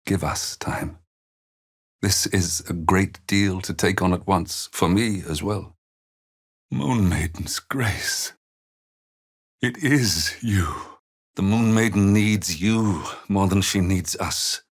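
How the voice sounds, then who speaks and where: A man playing a gentle, weary older father in a fantasy video game, speaking in measured, earnest, formal phrases. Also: sits low, exaggerated voice. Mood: tender, reassuring. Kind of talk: dramatic fantasy game dialogue.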